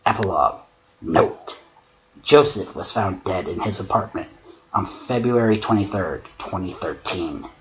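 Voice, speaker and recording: A man voicing a sombre, deferential servant who reads and judges horror stories in a measured, solemn way. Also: low voice, animated, voice pushed down. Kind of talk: dramatic horror reading and measured critique. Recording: muffled lo-fi mic with clear noise.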